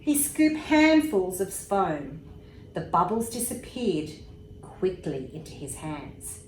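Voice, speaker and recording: Slow-paced woman reading a bedtime story, roomy echo. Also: fairly low pitch. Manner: unhurried, expressive, pauses for asides about pictures. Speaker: storybook reader, emergency services commissioner. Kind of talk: bedtime story read aloud to young children. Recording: roomy echo with faint background noise.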